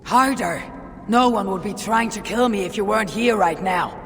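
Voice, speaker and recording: A woman's voice: mid-range voice, moderate pace, fairly bright tone, lightly acted. Casting: actress for proud Viking fighter woman, blunt, defiant, impatient, game drama, long reverb and noisy ambience. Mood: angry. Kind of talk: dramatic video game acting. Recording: reverberant, with clear background ambience.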